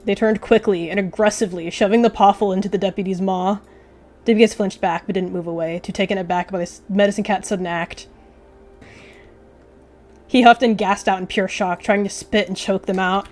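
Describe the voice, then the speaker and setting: A woman's voice: mid-range voice, steady tone, brisk, a little mellow, soft background music. Female roleplay narrator, mid-range voice, dramatic storytelling over a game. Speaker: roleplay narrator, video creator.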